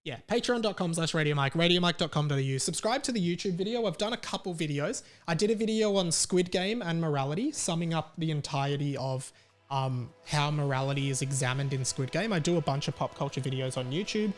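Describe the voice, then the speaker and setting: An Australian man talks solo as a podcast host, with a fairly high-pitched voice. His delivery is casual and rambling, full of opinion and happy to swear. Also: fast talker, faint music behind.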